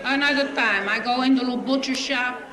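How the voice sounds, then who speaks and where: A woman's voice: high voice, normal speed, a loud crowd, lightly acted. A woman comedian playing a chatty Italian immigrant woman with a thick accent and broken English, telling indignant stories. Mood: indignant comic. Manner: indignant, storytelling, quoting others. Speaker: stand-up comedian. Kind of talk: comic character monologue. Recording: echoey stage with audience noise. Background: audience laughter.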